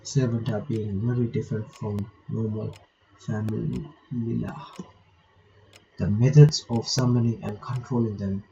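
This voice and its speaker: A man with a low voice and a dark, mellow tone reads visual novel text aloud for a playthrough. He stumbles now and then, repeats words and drops in casual comments. The recording is dull and lo-fi, with faint noise behind him. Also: little pitch movement, gravelly. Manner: halting, stumbles while reading, repeats words. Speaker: reaction channel host.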